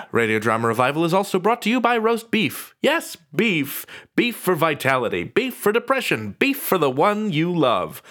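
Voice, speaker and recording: A man's voice: a little high, sing-song, normal speed, fairly bright tone. A man hosting a podcast, speaking in a casual but composed way with a wry, sardonic edge. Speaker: podcast host. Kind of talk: panel talk and casual scripted host narration.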